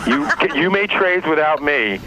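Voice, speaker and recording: A man's voice: a little high, very animated, measured pace, over a noisy crowd, low-quality recording. A man arguing insistently and with exasperation in a heated phone dispute, in a radio playback. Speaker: caller confronting his stockbroker.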